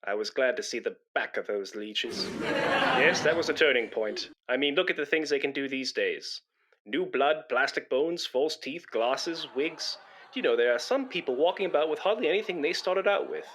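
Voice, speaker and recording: A man's voice: fairly high pitch, animated, normal speed, fairly bright tone, a little hiss or hum. A man playing a pompous, self-important, easily aggrieved middle-aged man in a radio comedy. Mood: chatty, self-satisfied. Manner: pompous, indignant, sententious. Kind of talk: British radio comedy acting.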